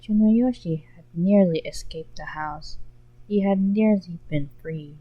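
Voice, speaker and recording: A woman reading fan fiction aloud in an expressive storytelling style, on a muffled home recording. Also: fairly low pitch, unhurried.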